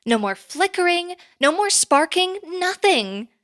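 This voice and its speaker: A woman's voice: very high voice, sing-song, measured pace, a bit bright, full character voice. A woman playing a composed, businesslike boss in an audio drama, with formal, dry phrasing. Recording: faint music behind.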